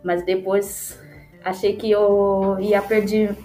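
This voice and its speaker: A Haitian woman in her thirties with a non-native accent tells her life story in an interview, in halting phrases with frequent self-corrections. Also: mid-range voice, flat delivery, warm tone. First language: not Portuguese, learned it as an adult in Brazil. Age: 30s. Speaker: podcast guest telling her life story. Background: background music.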